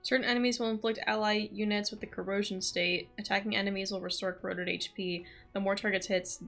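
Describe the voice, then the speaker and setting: A woman's voice: medium pitch, steady tone, fast, creaky, a bit bright, faint music behind. A woman doing casual live commentary as a game streamer, thinking aloud and reading out text.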